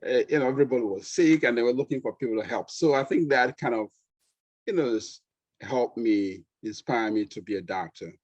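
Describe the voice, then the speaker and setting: A middle-aged man from Nigeria with a Nigerian accent, a pediatrician guest talking relaxed, with fillers and easy laughs. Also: mid-range voice, fairly quick, breathy edge, no room sound, highs cut off. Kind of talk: conversational interview.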